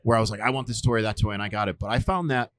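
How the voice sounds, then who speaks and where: A man in his forties, a survival instructor, telling stories in a relaxed interview, with lots of fillers and restarts. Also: fairly low pitch, racing. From United States. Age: 40s. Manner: rambling, many fillers and restarts. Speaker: podcast guest, survival instructor. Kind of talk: casual storytelling interview.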